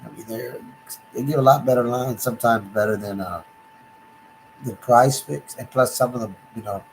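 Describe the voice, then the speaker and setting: A man with a raspy voice from feeling sick, rambling casually about betting picks and promo codes with lots of fillers. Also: medium pitch, moderate pace, a little hiss or hum, rough recording. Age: adult. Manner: rambling, many fillers and restarts. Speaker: sports betting tipster.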